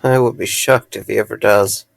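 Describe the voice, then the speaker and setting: A man's voice: on the low side, steady tone, moderate pace, creaky, no room sound. A man playing a caring, dryly wry friend in an audio drama, earnest and a little hesitant. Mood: wry, casual.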